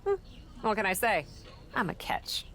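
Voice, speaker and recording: A woman playing a cheeky, quick-witted female wrestler and acting manager in a video game cutscene. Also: mid-range voice, lively intonation, a bit bright. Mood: flippant, amused. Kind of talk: scripted game cutscene dialogue.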